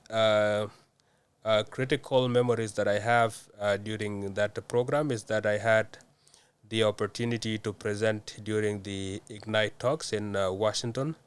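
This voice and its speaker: A young man from South Sudan answering interview questions in a measured way, with many hesitant fillers. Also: fairly low pitch, clean tone. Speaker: guest.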